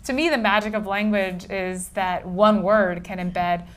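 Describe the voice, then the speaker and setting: A woman interviewing an author at a bookstore event, asking long, animated questions with many fillers. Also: mid-range voice, a little slow. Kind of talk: casual conversation at a book event.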